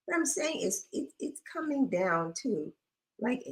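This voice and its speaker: A woman's voice: a little high, big pitch swings, a little slow. A middle-aged woman co-hosting a marriage advice show, thinking aloud with restarts while her voice fades from allergies and sniffles.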